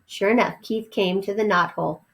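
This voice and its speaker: A woman's voice: medium pitch, fairly even intonation, a little fast, fairly bright tone, rough recording. A woman reading a children's storybook aloud as narrator, explaining things as if to young listeners.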